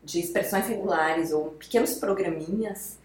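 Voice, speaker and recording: A woman's voice: lowish voice, moderate pace, darkish tone. A woman who patiently explains ideas step by step with examples, as a guest expert in a conversation. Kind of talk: explanatory podcast talk. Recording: roomy echo.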